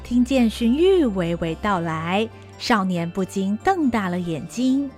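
A woman's voice: medium pitch, very animated, unhurried, darkish tone, faint music behind. A woman with a Taiwanese Mandarin accent narrating a radio drama with vivid storytelling.

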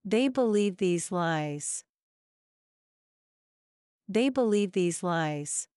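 A woman reading English example sentences slowly and evenly for learners to repeat. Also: fairly low pitch, expressive, a little mellow, smooth, dry recording, studio quality. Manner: careful, evenly paced repetition.

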